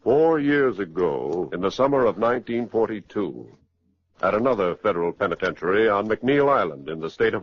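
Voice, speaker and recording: A man narrating dramatically in 1940s radio style, measured and emphatic. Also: on the low side. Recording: dry, dull 1940s radio recording.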